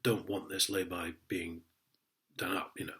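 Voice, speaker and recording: A man talks to camera in a sarcastic, chuckling vlog rant. His voice sits fairly low and sounds a little stuffed up from a head cold. He reads out official wording in a mocking tone. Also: level tone, unhurried, bright, forceful tone. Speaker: vlogger. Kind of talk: casual ranting vlog.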